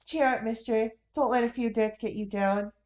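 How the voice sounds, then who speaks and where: A woman's voice: fairly high pitch, fairly even intonation, moderate pace, a little mellow, natural voice. A woman voicing a cheeky, big-hearted young boy who talks in a brash, folksy, playful way. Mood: cheerful, consoling.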